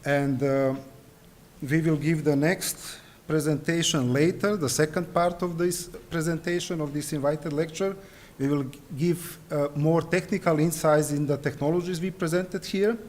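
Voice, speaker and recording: Serbian-native male lecturer, higher voice, hesitant, lots of ums, conference hall. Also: fairly even intonation, moderate pace, a little mellow. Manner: hesitant, frequent fillers and restarts. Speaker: conference presenter.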